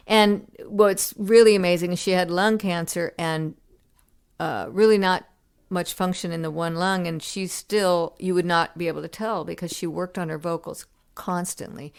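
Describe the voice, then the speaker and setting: Low, gravelly woman telling stories. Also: steady tone, normal speed. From United States. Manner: reflective storytelling with many restarts and fillers. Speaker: musician guest. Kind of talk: casual interview conversation.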